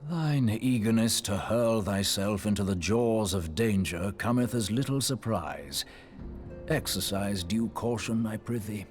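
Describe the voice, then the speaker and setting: A grave male scholar of arcane lore acted by a man speaks in a low, measured voice. The wording is courtly and archaic, solemn throughout, in fantasy video game style. Music plays clearly behind. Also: darkish tone, lightly acted. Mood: solemn caution. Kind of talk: formal video game voice acting. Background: prominent music.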